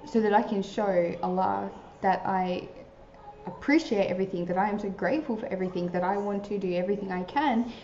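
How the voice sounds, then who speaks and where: A woman's voice: medium pitch, a little fast, mellow, a little hiss or hum. A young woman giving earnest, chatty advice to camera, filling her sentences with "like.". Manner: earnest, chatty, many filler likes. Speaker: vlogger giving advice to new converts. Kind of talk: casual advice talk to camera. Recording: roomy, slightly dull sound.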